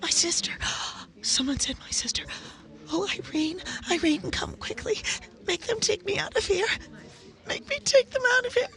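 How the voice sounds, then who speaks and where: A woman acts a young bride-to-be in an old-time radio horror play. Her voice is high with a creaky edge, and she speaks in a dramatic, heartfelt, theatrical way. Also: sing-song, normal speed, a bit bright, full character voice. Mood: desperate, pleading. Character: young bride-to-be, playful, then desperate while presumed dead.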